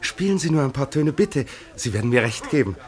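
An actor playing a deferential, effusive town musician in a German radio play, hesitant, polite and fervent. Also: male voice, mid-range voice, sing-song, a little fast, lightly acted. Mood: apologetic, fond. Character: deferential, effusive male town organist and violinist.

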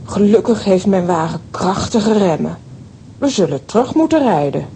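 Excitable audio drama heroine, mellow tone, exclamatory, faint rumbling noise. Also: female voice, normal speed. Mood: alarmed. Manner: theatrical, exclamatory. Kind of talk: children's audio drama acting.

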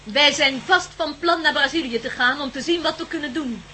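An anxious but determined wife in a radio drama. Her voice is very high and bright, urgent and tense, over faint noise. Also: moderate pace. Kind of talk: radio play acting.

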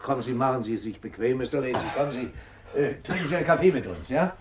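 A man's voice: medium pitch, fast, noisy background, lightly acted. An actor playing an urgent but courteous male police captain in a 1970s German radio crime drama. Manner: polite but insistent questioning.

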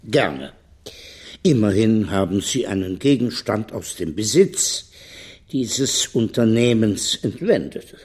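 A man playing a smooth, calmly menacing corporate lawyer in a radio crime drama, speaking formally and with measured eloquence. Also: lowish voice, exaggerated voice. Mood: smooth, explanatory. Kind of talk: German radio play dialogue.

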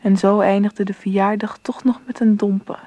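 Actress as a mellow, reflective female narrator. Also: medium pitch, little pitch movement, normal speed, dry recording, lo-fi sound, natural voice. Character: reflective daughter narrating her family's story. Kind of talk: scripted radio drama narration.

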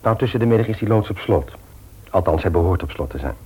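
A man's voice: sits low, expressive, fast, darkish tone, husky, full character voice. A male radio play actor playing a conscientious family man, speaking naturally, hesitantly and earnestly. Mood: casual, confiding. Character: conscientious, troubled family man and office worker, jovial host. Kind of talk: naturalistic Dutch radio drama acting.

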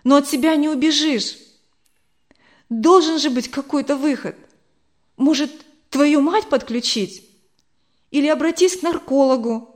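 A woman's voice: high-pitched, a little slow, long pauses, pitched above the performer's own voice. A woman voicing an anxious wife in an audiobook, pleading with insistent emotion. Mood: urgent demand.

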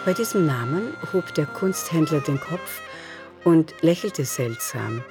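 A woman's voice: sits low, expressive, a little slow. A woman narrating a literary radio drama in a scripted, storytelling delivery. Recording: music behind the voice.

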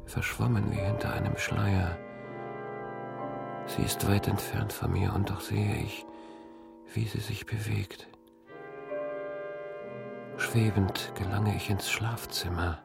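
Haunted brooding guy stuck in dreams, radio drama, low flat voice, drags out every phrase. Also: creaky, loud music behind the voice, heavily acted. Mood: detached, calming. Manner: halting, brooding, at times whispering. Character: haunted, dreaming man tormented by disturbing memories. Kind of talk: slow, intimate radio drama monologue.

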